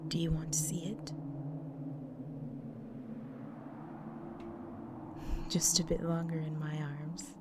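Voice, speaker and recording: A woman playing a gentle, protective vampire wife in an intimate audio roleplay, speaking tenderly in a breathy, near-whispered voice. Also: sits low, completely flat delivery, lightly acted. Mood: soothing, somber. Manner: tender, slow, soothing. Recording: noisy track with loud ambient sound.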